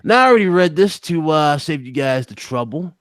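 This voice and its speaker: A man's voice: a little high, lively intonation, fairly quick, a bit bright, dry recording, studio quality. A man hosting a casual gaming livestream, chatting informally and teasing his co-hosts as he talks to the audience. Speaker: host of a gaming podcast livestream. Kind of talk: casual live-stream chat and news commentary.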